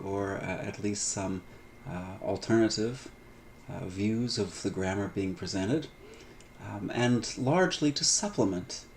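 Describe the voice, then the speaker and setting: A middle-aged man giving a recorded online lecture on biblical Greek, measured and full of "uh" fillers, stretching out key terms. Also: lowish voice, a little hiss or hum. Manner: measured, frequent "uh" fillers, drawn-out emphasis on terms. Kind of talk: recorded online lecture over textbook pages.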